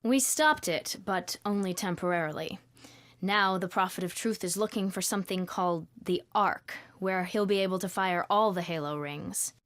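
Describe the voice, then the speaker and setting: An actress playing a composed, serious naval commander in a game cutscene, briefing with crisp authority. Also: female voice, lowish voice, moderate pace, a bit bright, natural voice. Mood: grave briefing. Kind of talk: video game cutscene acting.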